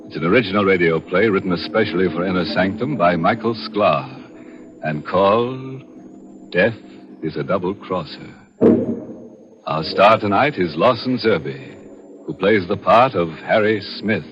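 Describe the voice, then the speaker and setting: A ghoulish host of an old radio horror show, very low-voiced and darkly jovial. He savors each macabre word with mock concern. The sound is a muffled 1940s broadcast with organ music behind. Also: unhurried. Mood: ominous.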